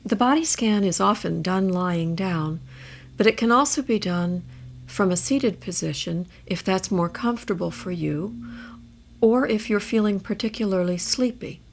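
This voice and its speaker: A woman guiding a meditation in a measured, gentle way, leaving space between her phrases. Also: mid-range voice, gravelly, a little hiss or hum. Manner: measured, gentle instruction with long pauses. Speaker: meditation guide. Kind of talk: guided body scan meditation.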